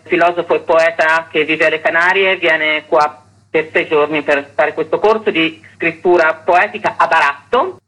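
A woman's voice: on the low side, fairly bright tone, poor audio. A woman festival director giving interview answers and running through a programme. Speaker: festival artistic director, guest.

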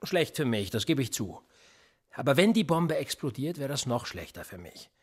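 An actor playing a cynical, sly police interrogator in a radio play, with an insinuating, coolly threatening manner. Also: male voice, medium pitch, big pitch swings, normal speed, a little mellow, husky. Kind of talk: naturalistic German radio play acting.

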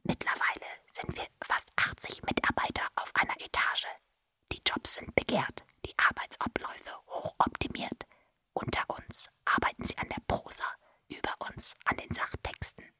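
A woman playing an insinuating assembly-line writer in a stage drama, whispering breathily and explaining in a deliberate, matter-of-fact way. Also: pushed and bright, dry recording, lightly acted. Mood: matter-of-fact, confiding. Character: insinuating female assembly-line script writer, unmasking the system. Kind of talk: whispered stage monologue in German.

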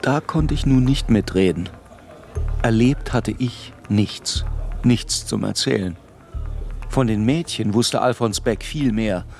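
A man's voice: mid-range voice, takes it slowly, vocal fry, darkish tone, soft background music. An actor narrating as a reflective, melancholy man looking back on his youth. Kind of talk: scripted first-person literary narration.

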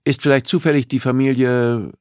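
Male reader as curious family man, helpful, brisk questions, dry audiobook sound. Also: medium pitch, flat delivery, darkish tone, clean tone, dry recording, pitched above the performer's own voice, natural voice. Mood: loud, brisk. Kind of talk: audiobook character voice.

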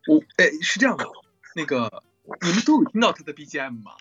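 Husky, very animated voice actor from Qingdao. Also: mid-range voice, fast, lo-fi sound, soft background music. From Qingdao, China. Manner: playful, laughs often. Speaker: voice actor in an audio drama. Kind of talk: casual group chat at an audio drama cast event.

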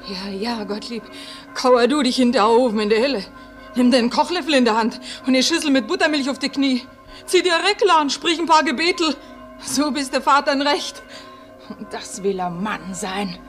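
Woman acting bitter fierce young mother, scolding, fairly high voice, German radio drama. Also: normal speed, soft background music, close to an everyday voice. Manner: scolding, vehement.

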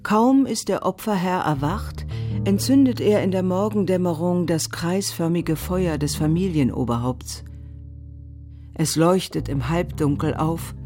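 A woman giving solemn, deliberate scripted narration of a ritual in a radio drama. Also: fairly low pitch, warm tone, faint music behind.

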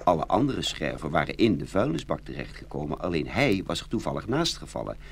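A man narrating a children's fairy tale in an expressive, scripted storytelling style. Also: a bass voice, fairly quick, vocal fry. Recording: hum and steady noise.

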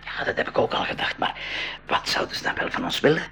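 A man's voice: quick pace, bright, forceful tone, a little hiss or hum, close to an everyday voice. A man playing a cautious, worried professor leading an expedition in a school radio drama, hesitant and repeating his words.

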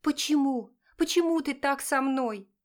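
A woman's voice: fairly high pitch, moderate pace, darkish tone, pitched above the performer's own voice, close to an everyday voice. A woman voicing an emotional, pleading teenage daughter with breathless exclamations in an audiobook drama. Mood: despairing, pleading.

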